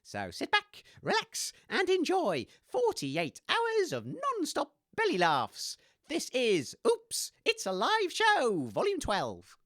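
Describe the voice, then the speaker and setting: A woman's voice: high-pitched, huge pitch swings, slow, a bit bright, no echo, clean studio sound, full character voice. A woman playing a chirpy, oblivious radio DJ in a comedy audio drama, with a hesitant, theatrical delivery. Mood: jolly presenting. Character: excitable, chirpy, oblivious radio DJ named Dave.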